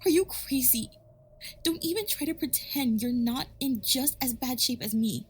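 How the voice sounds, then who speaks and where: Woman voicing a high-pitched, strained young mare. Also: moderate pace, slightly rough. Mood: exasperated, alarmed. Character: weakened, sharp-tongued pregnant young pony wife. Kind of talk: dramatic fan fiction acting.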